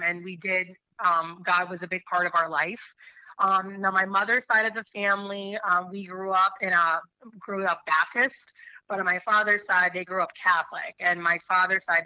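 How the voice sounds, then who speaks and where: Bright-voiced woman telling her story. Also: medium pitch, no room sound, muffled recording. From New Hampshire. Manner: earnest, rambling with many um fillers. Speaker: attorney, interview guest. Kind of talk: faith interview, personal story.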